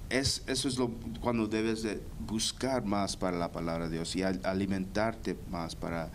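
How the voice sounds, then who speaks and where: A young Guatemalan man with a Guatemalan Spanish accent, giving a halting personal faith testimony full of restarts. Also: low voice, steady tone, slight background noise. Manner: hesitant, many restarts and repeated words.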